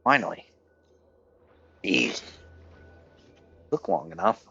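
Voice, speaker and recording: A man's voice: on the low side, very animated, slow pace, creaky, bright, forceful tone, husky, highs cut off. A man streaming a game live, muttering exasperated asides over the gameplay. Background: game music.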